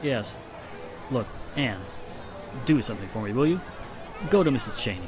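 Man acting police inspector, expressive mid voice, businesslike, old radio play, music and crowd behind. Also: medium pitch, moderate pace, close to an everyday voice. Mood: concerned, familiar. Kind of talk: 1940s radio drama acting.

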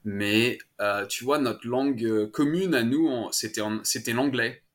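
A man's voice: medium pitch, measured pace. A man from France, a father as interview guest, chatting casually with many fillers, restarts and laughs. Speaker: guest, French-speaking father.

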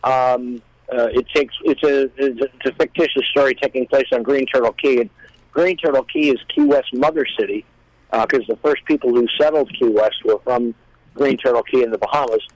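A man chatting casually as a guest, explaining things in a rambling, halting way. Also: mid-range voice, lively intonation, no echo, poor audio. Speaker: guest, musician. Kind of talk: casual chat.

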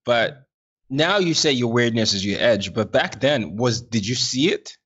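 A man's voice: medium pitch, animated, a little slow, fairly bright tone, breathy edge, highs cut off. Upbeat male podcast host. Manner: upbeat, effusive, repeats himself. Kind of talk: podcast intro and interview.

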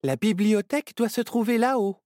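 A man voicing a fearless young girl who speaks with calm, confident authority. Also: high-pitched, moderate pace, no echo, studio quality, voice pushed up. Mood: commanding. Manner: confident, matter-of-fact. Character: bold, brisk young girl, calm and bossy. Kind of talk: storybook reading.

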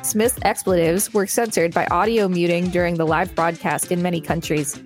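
A woman's voice: lowish voice, fairly even intonation, moderate pace. A woman hosting a show and reading an encyclopedia-style article aloud. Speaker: podcast host and reader. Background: background music.

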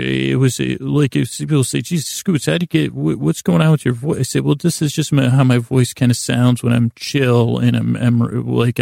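A middle-aged American man hosting a sleep podcast, rambling in a gravelly voice with halting, self-deprecating detours that trail off and circle back. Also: medium pitch, a little mellow, dry recording, clean studio sound. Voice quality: gravelly, creaky. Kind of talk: rambling, meandering bedtime monologue.

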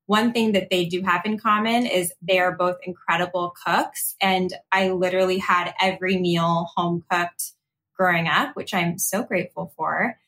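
A woman's voice: on the low side, fairly even intonation, normal speed, a bit bright. Chatty American woman, upbeat podcast guest. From Arizona, United States. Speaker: podcast guest, lifestyle blogger. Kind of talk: casual conversational interview.

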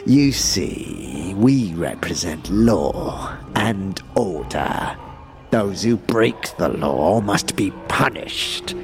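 An actor playing a smug, theatrical fiend who speaks in florid, grandiose, formal phrases with drawn-out words. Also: male voice, deep voice, vocal fry, heavily acted. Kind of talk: audio drama acting.

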